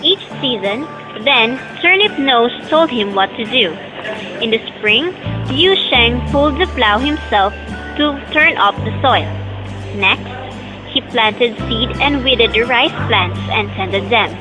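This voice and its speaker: A woman's voice: on the high side, animated, slow pace, over music, poor audio. A young woman reading scripted storybook narration for an amateur audio drama. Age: young adult.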